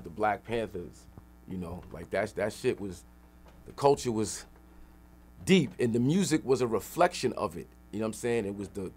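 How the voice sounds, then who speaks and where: Emphatic middle-aged New man, lowish voice. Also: darkish tone, slight background noise. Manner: emphatic, streetwise, slangy. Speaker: interview guest, rapper and activist. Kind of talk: animated storytelling in a radio interview. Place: radio interview room.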